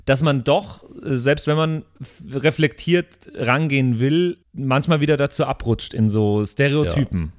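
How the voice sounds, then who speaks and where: A man's voice: medium pitch, moderate pace, hardly any pauses. Male podcast co-host, mid-range voice, casual, blunt, slangy delivery. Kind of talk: casual chat.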